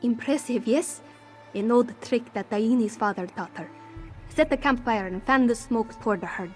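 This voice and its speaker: High-voiced scrappy cat-folk thief played by a woman. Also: moderate pace, faint music behind, lightly acted. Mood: proud, hopeful. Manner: refers to herself in third person, formal contraction-free phrasing. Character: anxious, scrappy female cat-folk thief, defensive and street-hardened. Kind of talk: fantasy game character dialogue.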